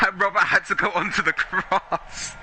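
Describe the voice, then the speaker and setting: A man co-commentating a live sim race, laughing often and calmly talking his partner down. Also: sits high, normal speed, a little hiss or hum.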